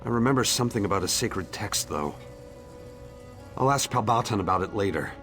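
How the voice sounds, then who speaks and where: A man's voice: lowish voice, lively intonation, brisk, a bit bright. A man playing a wry, sceptical townsman in conversational video game dialogue. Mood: shaken, puzzled. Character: wry, sceptical male townsman seeking his fate. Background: faint game music.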